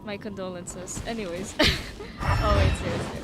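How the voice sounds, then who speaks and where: A woman's voice: medium pitch, steady tone, normal speed, very noisy. A young woman playing a video game and commenting casually, giggling and teasing the characters on screen. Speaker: streamer. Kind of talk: casual let's play commentary. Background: loud game music.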